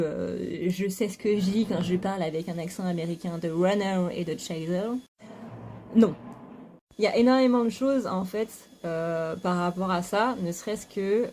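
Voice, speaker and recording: A woman's voice: on the low side, mellow, slight background noise. A woman talking frankly to her viewers in a casual home vlog, full of fillers and restarts. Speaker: spiritual YouTuber. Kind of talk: casual talking-to-camera monologue. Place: home, vacuum cleaner running nearby.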